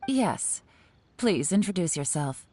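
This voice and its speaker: A woman's voice: on the low side, sing-song, slow pace, warm tone, a little hiss or hum. An actress playing a cool, confident woman in charge in a video game, composed and businesslike with a sly, wry edge.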